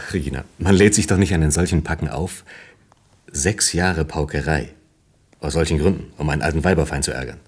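An extremely deep, rough-edged man's voice in a radio drama. He plays the disapproving partner, stern, terse and exasperated, reproaching the woman he is with. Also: normal speed, long pauses. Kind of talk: naturalistic German radio play acting.

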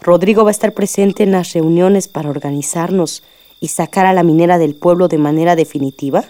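A woman's voice: on the low side, brisk, warm tone, no echo, close to an everyday voice. A middle-aged Mexican woman acting a caring, perceptive and wary mother in a radio soap opera. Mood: worried, doubtful.

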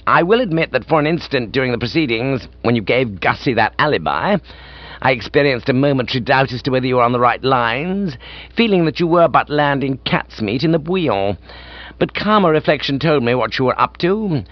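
A man voicing an excitable, pompous upper-class English gentleman in a comic audiobook, breezy and self-satisfied. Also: mid-range voice, a little fast, no room sound, dull audio, close to an everyday voice. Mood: breezy, pleased.

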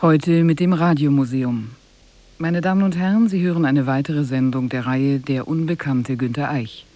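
A man with a relaxed, mellow tone and a slightly raised pitch introduces a radio programme from a script. He is formal and exact, speaking with a standard German accent. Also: moderate pace. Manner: formal, precise. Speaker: radio presenter. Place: radio studio.

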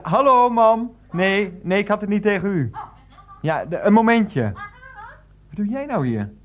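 A man's voice: sits high, very animated, a little slow, a little hiss or hum. A man playing a flustered, lovestruck husband in his thirties in a radio play, hesitant, apologetic and harried. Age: 30s.

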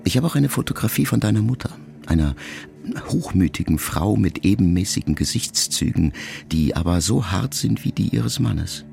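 A man acting a brooding son who addresses his dying mother in a reflective, measured radio-drama monologue. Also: lowish voice, lively intonation, vocal fry, a little mellow, faint music behind. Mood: pensive, restrained. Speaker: radio play actor. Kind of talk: intimate first-person literary monologue in a radio drama.

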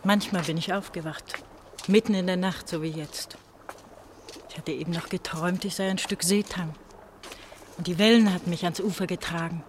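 A woman's voice: lowish voice, fairly even intonation, normal speed, pauses often, lightly acted. An actress as a fragile, frightened young woman, faint noise. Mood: dreamy, sad. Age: young adult. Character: exhausted, frightened young woman fleeing to the West. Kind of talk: German radio drama acting.

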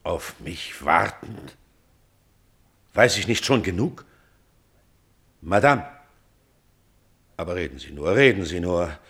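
A proud old father in a classical radio tragedy. The deep, husky voice swings widely in pitch, moving at a slow, weighty pace as he declaims in anguish and indignation. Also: lively intonation, fairly bright tone, heavily acted. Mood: impatient, agitated. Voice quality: gravelly, husky. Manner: declamatory, halting, bursting into indignant exclamations. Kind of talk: classical German stage tragedy as radio drama.

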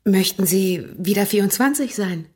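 An actress playing a candid, skeptical young married woman in a radio drama, plain-spoken and questioning, with a low, breathy voice. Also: lively intonation, moderate pace, warm tone, lightly acted. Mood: resigned, probing. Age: young adult. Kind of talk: German radio drama acting.

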